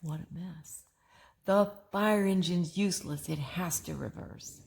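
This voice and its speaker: A woman reading a rhyming picture book aloud to children, expressively, pausing for a child to join in. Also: lowish voice, vocal fry, slight background noise. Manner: expressive, prompting a child to fill in rhymes. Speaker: story reader and co-host.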